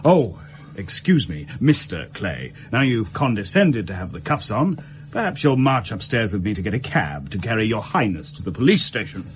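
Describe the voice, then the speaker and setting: An actor playing a gruff police detective in a radio drama, plain-spoken and mocking. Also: male voice, fairly low pitch, sing-song, moderate pace, a little hiss or hum. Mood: sardonic. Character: gruff, sarcastic police detective making an arrest.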